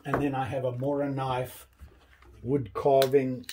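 A man in his fifties casually showing his tools in a small workshop, talking in a relaxed, rambling way with many pauses and restarts. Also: medium pitch, background noise. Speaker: hobbyist channel host. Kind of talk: casual show-and-tell vlog. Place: small workshop with pegboard tool wall, indoors.

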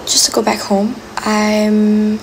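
An eighteen-year-old woman who grew up in Malaysia, talking softly and hesitantly in a personal voice memo, sometimes dropping to a whisper. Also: mid-range voice, monotone, slow, a little hiss or hum. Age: teens. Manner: soft, hesitant, lots of ums, drops to a whisper.

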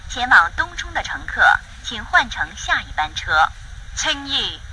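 Bright, higher-voiced woman announcing trains. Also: big pitch swings, normal speed, low-quality recording. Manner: formal, scripted delivery. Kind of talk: recorded public transit announcements. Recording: clips with faint station noise.

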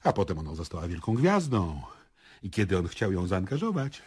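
A man's voice: deep voice, big pitch swings, fairly quick, darkish tone, slightly rough, exaggerated voice. An actor playing an indignant, defensive male murder suspect in a radio play, scornful and incredulous. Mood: insinuating, scornful.

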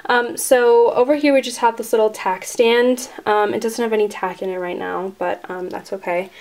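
A woman's voice: a little high, steady tone, normal speed, a little mellow. Chatty young woman giving a toy barn tour. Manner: chatty, lots of ums and likes. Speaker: toy horse channel creator. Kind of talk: casual model barn tour.

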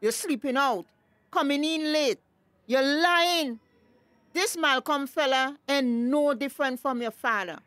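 A woman's voice: high voice, a little slow, fairly bright tone, no room sound. A woman with a Tobagonian accent playing a stern, suspicious village mother in a radio drama, emphatic and indignant. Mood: scolding.

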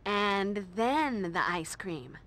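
An actress playing a wisecracking, brave teenage vampire slayer in a video game, with a flip, breezy delivery. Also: female voice, medium pitch, expressive, extremely slow, a bit bright, full character voice. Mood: dry, wry.

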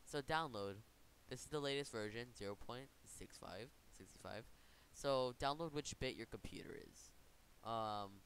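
A man hosting an informal software tutorial, talking in a casual, rambling way and correcting himself as he goes. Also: medium pitch, rough recording. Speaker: tutorial host. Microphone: computer microphone. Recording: noticeable background hiss.

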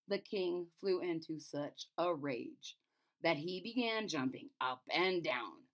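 A woman's voice: on the low side, expressive, slow pace. A middle-aged woman reading a children's story aloud with dramatic, emphatic expression. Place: small room indoors.

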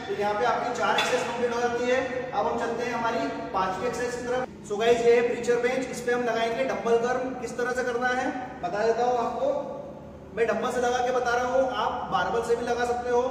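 A man in his thirties, a fitness trainer, talking viewers through bicep exercises step by step while he demonstrates them in a large indoor gym. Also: extremely high pitch. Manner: instructive, step-by-step, mixes English gym terms. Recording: echoey gym hall, noisy, mic at a distance.

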